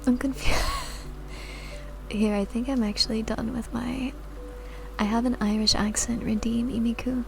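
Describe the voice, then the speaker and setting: A woman streamer whispering soft, giggly ASMR chat to her viewers into a sensitive mic. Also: a little high, steady tone, measured pace, vocal fry, pauses often, music in the background. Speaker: VTuber streamer.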